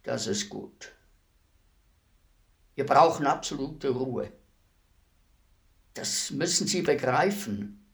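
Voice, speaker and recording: An actor playing a polite, businesslike state executioner in a German radio play, formal and matter-of-fact. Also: male voice, medium pitch, expressive, slow, vocal fry, long pauses. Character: polite state executioner, businesslike, unruffled, deferential hired killer.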